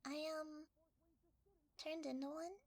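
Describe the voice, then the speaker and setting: A woman voicing a sweet, nervous blanket mimic in soft, breathy, stammering ASMR. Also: high voice, fairly even intonation, takes it slowly. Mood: sheepish. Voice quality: soft, breathy, often whispered. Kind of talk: whispery ASMR roleplay.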